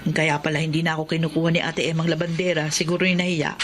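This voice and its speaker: A woman's voice: sits low, level tone, quick pace, a little hiss or hum, close to an everyday voice. A woman playing a caring, plain-spoken Filipino working mother in an audio drama, gossipy and fondly scolding. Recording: music behind the voice.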